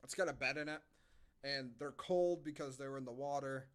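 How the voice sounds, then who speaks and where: Bright-voiced man riffing sarcastically on a podcast. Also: mid-range voice, fairly quick. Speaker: podcast host. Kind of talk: casual movie review banter.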